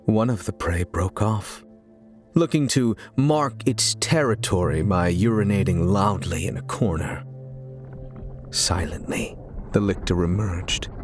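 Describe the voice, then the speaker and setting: A man giving a dramatic, deliberate and suspenseful narration of a scripted audio story, with theatrical phrasing. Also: fairly low pitch. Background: music.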